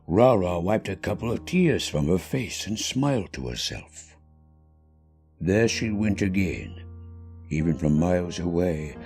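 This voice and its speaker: A man reading a scripted story as narrator, in an expressive, dramatic manner. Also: low-pitched. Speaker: narrator of a fan fiction reading.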